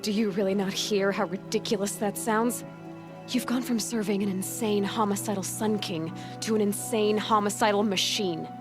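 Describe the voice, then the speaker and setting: An actress playing a blunt, defiant young captive hunter in a video game, scornful and challenging. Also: female voice, medium pitch, fairly even intonation, moderate pace, lightly acted. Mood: mocking challenge. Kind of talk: dramatic video game acting. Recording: dramatic music behind the voice. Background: music.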